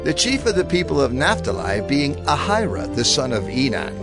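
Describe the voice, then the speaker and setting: A man's voice: medium pitch, wildly swooping pitch, moderate pace. A man reading scripture from a script in a formal, proclaiming manner. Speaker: narrator. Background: faint music.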